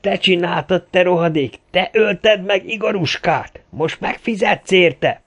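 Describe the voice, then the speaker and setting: A man's voice: high voice, fairly bright tone, voice pushed up. A man voicing a hot-headed, grieving Russian gunman in an audiobook, ranting and threatening in exclamations. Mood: furious, threatening.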